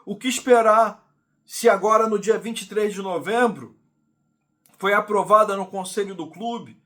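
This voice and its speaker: A man with a Carioca accent delivers a football fan's rant. His voice sits high for a man, and he is exasperated, repeating himself as he piles up grievances in a long monologue. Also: a little slow, fairly bright tone. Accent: Carioca Brazilian Portuguese. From Rio de Janeiro, Brazil. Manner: exasperated, repetitive, ranting. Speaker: football channel host. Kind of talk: indignant fan commentary monologue.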